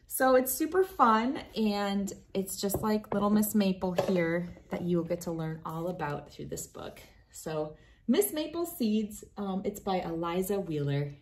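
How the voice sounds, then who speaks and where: Woman, thirties, children's read-aloud host, expressive storybook delivery, mid-range voice. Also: mid-range voice, measured pace. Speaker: host reading a picture book aloud.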